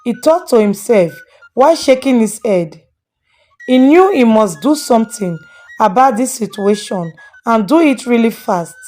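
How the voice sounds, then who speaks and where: A woman narrates a scripted moral folktale. Her voice sits fairly high, with music playing quietly behind her. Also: animated, measured pace. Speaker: storyteller.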